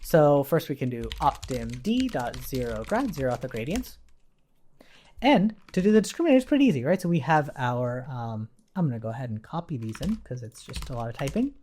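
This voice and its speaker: Male coding tutor for an informal screencast, animated intonation, medium pitch, explanatory step-by-step delivery. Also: fairly quick, slight background noise. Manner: explanatory, step by step, checks in with rhetorical questions. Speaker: programming instructor.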